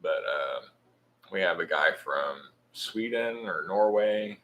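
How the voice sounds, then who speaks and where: A man from Oklahoma, co-hosting a casual chat, with a deep voice and a mumbling way of talking. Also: animated, slow pace, pushed and bright, slight background noise. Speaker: co-host.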